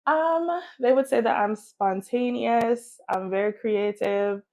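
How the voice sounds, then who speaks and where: A woman's voice: fairly high pitch, slow pace, a little mellow. A woman from Miami and Jamaica, chatting casually and informally as a guest in an interview. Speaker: guest, singer.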